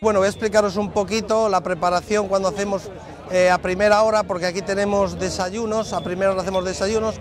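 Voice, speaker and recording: High-voiced middle-aged restaurant manager. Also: male voice, level tone, fast. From Zamora, Spain. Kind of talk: guided tour talk. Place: busy restaurant terrace under a tent at night. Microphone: lapel mic. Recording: background music under the voice.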